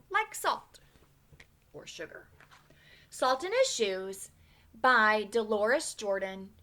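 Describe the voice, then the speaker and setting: Bright, animated woman reading to kids. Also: medium pitch. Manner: animated, drops to whispers for asides. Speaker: teacher reading aloud to children. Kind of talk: storybook read-aloud with vocabulary lesson. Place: at home.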